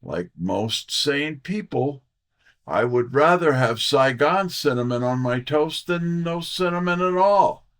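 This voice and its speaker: An actor playing a wry, breezy detective's assistant in an audio drama, with a theatrical, wisecracking delivery. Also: male voice, on the high side, lively intonation, slow pace, fairly bright tone, heavily acted. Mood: exasperated. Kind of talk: full-cast audio drama, detective narration.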